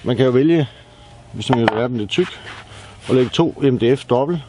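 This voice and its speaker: Echoey Danish man giving a hands-on tutorial. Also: medium pitch. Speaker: DIY presenter. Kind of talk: hands-on tutorial narration, casual. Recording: echoey room, faint background noise.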